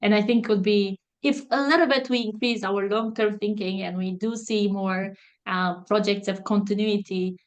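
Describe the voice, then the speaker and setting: A woman's voice: mid-range voice, steady tone, moderate pace. A woman from Romania, a foresight consultant, speaking as a podcast guest in careful, hesitant English with many fillers. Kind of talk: podcast interview, video call.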